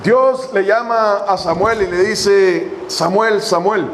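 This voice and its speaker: A man with a high voice preaches to his congregation, slowing down to read scripture aloud. A faint hiss of noise sits behind him in the church room. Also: fairly even intonation. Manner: preaching with long pauses, reading scripture slowly. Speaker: pastor. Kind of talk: sermon. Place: church hall.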